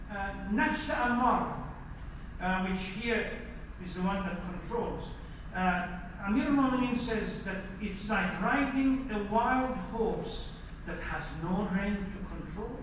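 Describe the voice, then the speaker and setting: High-pitched male preacher in an echoey hall. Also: a bit bright. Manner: didactic, questions the audience directly. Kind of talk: sermon lecture to a congregation. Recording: echoey hall, distant mic, faint noise.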